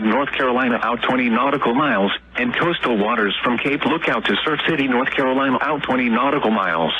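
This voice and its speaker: A man's synthetic voice reading a scripted emergency weather bulletin in a formal, measured manner. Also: medium pitch, bright, forceful tone. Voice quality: synthetic text-to-speech voice. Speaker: weather radio announcer. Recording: dull noisy radio broadcast with hum.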